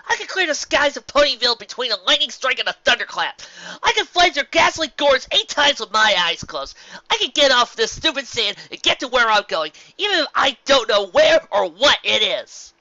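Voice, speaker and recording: A man plays a cocky young female pony from a storybook, brash and boastful with doubts underneath. The voice is very sharp and forceful, pitched up from his usual talk, on a slightly dull recording. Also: sing-song, moderate pace, dry recording, full character voice. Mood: defiant, boastful. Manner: cocky, emphatic self-pep-talk.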